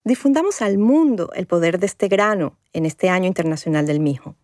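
A woman narrating a scripted documentary podcast as its host, with a measured, formal delivery. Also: lowish voice, animated, a little mellow, no room sound, studio quality.

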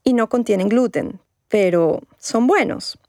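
A woman narrating a scripted documentary podcast as its host, with a measured, formal delivery. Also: medium pitch, warm tone, no room sound, clean studio sound.

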